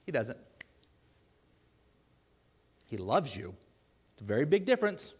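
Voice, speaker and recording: A man with a deep voice preaching a sermon in a church, earnest and pleading, with loud emphatic bursts. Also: very animated, measured pace, slightly rough. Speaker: lay preacher.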